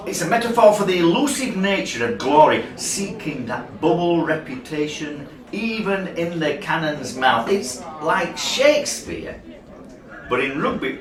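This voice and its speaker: A man in a radio play voicing a grandiose, poetic rugby obsessive with a declamatory, emphatic delivery. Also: on the high side. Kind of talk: amateur radio play acting. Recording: roomy, distant, crowd noise behind.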